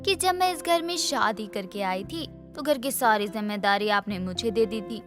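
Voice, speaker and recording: A woman's voice: mid-range voice, normal speed, music in the background, natural voice. A woman playing a scheming, jealous elder sister-in-law who puts on sweetness in a dramatised moral story. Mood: falsely sweet. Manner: sly, sugary before others, plotting when alone.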